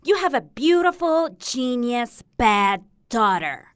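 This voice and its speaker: A woman's voice: a little high, very animated, takes it slowly, smooth, no room sound, studio quality. A woman playing a defiant, sarcastic, hurting teenage daughter in a dramatic stage piece, snappy in her delivery.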